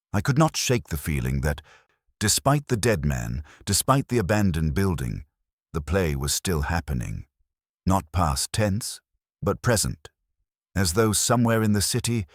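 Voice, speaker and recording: A very deep male voice narrates a scripted mystery in the first person. His delivery is measured and formal, with animated rises and falls in pitch. The sound is dry and close, free of room echo. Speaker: audiobook narrator.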